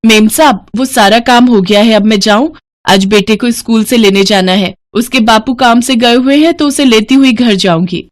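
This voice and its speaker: A woman's voice: a little high, moderate pace, lightly acted. A woman voicing a meek, respectful, hardworking housemaid in a moral story cartoon. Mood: deferential. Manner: respectful, deferential.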